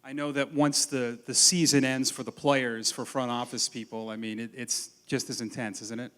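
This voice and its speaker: A man interviews a guest, asking friendly, chatty questions. His voice sits at a middle pitch and sounds easygoing. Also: flat delivery, moderate pace, fairly bright tone, big-room sound. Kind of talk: casual interview at a dinner event.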